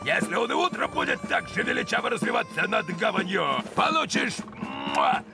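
A man playing a blustering, excitable cartoon pirate captain, declaiming and bellowing theatrically. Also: high voice, normal speed, vocal fry, music in the background, full character voice. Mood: bossy. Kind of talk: animated cartoon acting.

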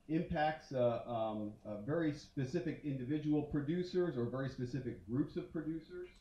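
A man in his forties giving a conference talk on stage with a handheld mic, conversational and full of fillers and restarts. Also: medium pitch. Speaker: conference speaker. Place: large dark auditorium stage. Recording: roomy hall sound from a distance, muffled.

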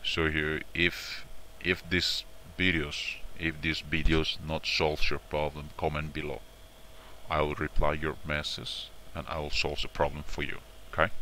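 A man presenting an informal step-by-step software tutorial in a repetitive, instructional way, often checking in with short tags. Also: rumbling low, little pitch movement, measured pace, slight background noise, rough recording.

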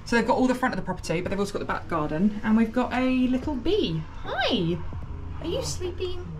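A young British woman hosting an exploring vlog inside an abandoned house, chatting excitedly and exclaiming often. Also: a little high, fairly quick. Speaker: urban explorer host. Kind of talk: casual exploring vlog chat.

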